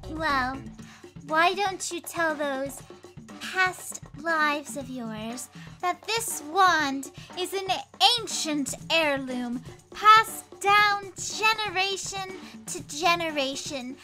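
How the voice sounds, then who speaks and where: A woman's voice: very high-pitched, drawn-out words, sharp tone, soft background music, full character voice. A woman voicing a bratty, dramatic girl who boasts about her wand. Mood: sassy. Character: sassy girl with a magic wand.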